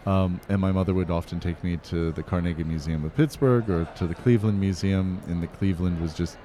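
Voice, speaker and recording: A man from Pennsylvania, a curator, answering interview questions in a measured, earnest way. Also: deep voice, fairly even intonation, warm tone, a little hiss or hum. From Pennsylvania, USA.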